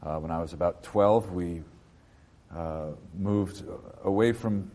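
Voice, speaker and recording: Very deep-voiced man in his fifties. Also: mellow. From New Jersey, US. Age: 50s. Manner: halting, many fillers and restarts. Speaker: interviewee, antiquarian bookseller. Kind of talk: casual interview.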